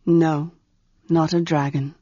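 A woman voicing a scarred dragon lord held captive, a calm, grave man who speaks gently and with measured reluctance in a storybook reading. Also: deep voice, no room sound, lo-fi sound.

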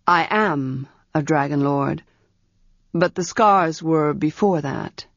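A woman voicing a scarred dragon lord held captive, a calm, grave man who speaks gently and with measured reluctance in a storybook reading. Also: sits low, dry recording, highs cut off, pitched below the performer's own voice.

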